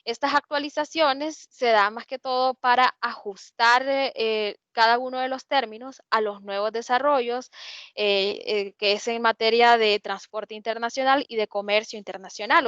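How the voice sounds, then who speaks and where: A woman with a Honduran accent delivers a lecture in a fairly high voice, pausing often to hesitate and restart her sentences. The sound is dull and lo-fi, with a dry, close feel and no room echo. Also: fairly bright tone. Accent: Honduran Spanish. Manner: explanatory, many hesitations and restarts. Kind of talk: online lecture.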